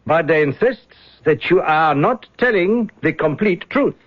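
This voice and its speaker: An actor playing a courteous, probing German police inspector with a German accent and hesitant, formal politeness in a radio drama. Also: male voice, a little high, animated, measured pace, noisy background. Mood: politely probing. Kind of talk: 1970s radio drama acting. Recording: dull lo-fi old radio recording.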